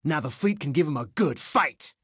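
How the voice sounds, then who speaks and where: An actor playing a cocky, friendly young resistance pilot in a video game, breezy and wisecracking. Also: male voice, fairly high pitch, expressive, moderate pace, a bit bright, slightly rough, no room sound, clean studio sound, full character voice. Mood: confident, easygoing. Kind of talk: video game character voice clips.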